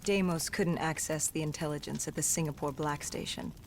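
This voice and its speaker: A woman's voice: sits low, a little fast, a little hiss or hum. An actress playing a grave, determined female soldier in a video game, speaking with serious intensity. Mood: grave, haunted. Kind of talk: dramatic video game acting.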